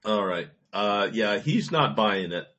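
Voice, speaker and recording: A man running a tabletop game as game master, relaxed and pausing often with fillers. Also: on the low side. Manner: easygoing, halting with fillers.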